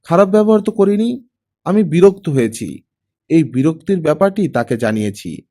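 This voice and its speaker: Audiobook role: proud older ex-police boss and father, performed by a man, gruff, mellow-toned, used to command. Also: on the high side, expressive, normal speed, pitched above the performer's own voice, lightly acted. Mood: irritated, proud.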